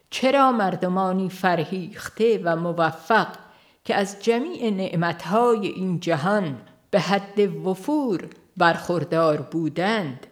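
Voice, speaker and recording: An audiobook reader, giving a scripted narration of a religious book. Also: mid-range voice.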